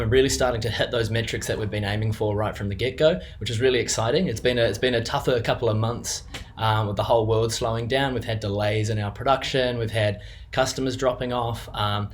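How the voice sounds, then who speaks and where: A younger man with a New Zealand accent speaks at a middle pitch, talking off the cuff as a business owner recommending a service. He hesitates often, filling gaps with ums and restarting his sentences as he goes. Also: a little hiss or hum. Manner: hesitant, many fillers and restarts. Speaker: business co-owner giving a client testimonial. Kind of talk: unscripted testimonial.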